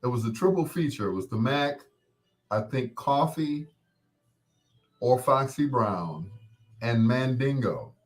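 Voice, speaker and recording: A man's voice: medium pitch, expressive, slow, pauses often. An American man in his sixties hosting a casual live chat, reminiscing in a rambling, conversational way and repeating points for emphasis. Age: 60s. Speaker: host.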